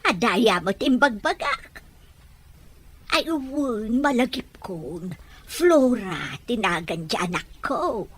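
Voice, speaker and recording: A woman's voice: a little high, very animated, takes it slowly, slight background noise. A woman playing a forgetful, confused old grandmother in an audio drama, repeating herself. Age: older. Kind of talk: amateur audio drama acting.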